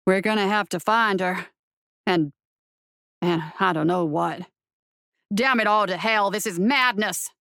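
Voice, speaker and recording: A woman voicing a gruff, weary male marshal in an audiobook, grumbling in a dry, wry way. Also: medium pitch, unhurried, long pauses, fairly bright tone, no room sound, pitched below the performer's own voice. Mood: annoyed. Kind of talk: audiobook character voice.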